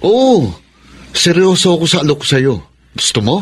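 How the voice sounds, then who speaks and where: A man playing a gentle, generous father in a radio drama, coaxing and kind. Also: fairly high pitch, big pitch swings, moderate pace, a little hiss or hum. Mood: kindly. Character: kindly wealthy father, warm and generous.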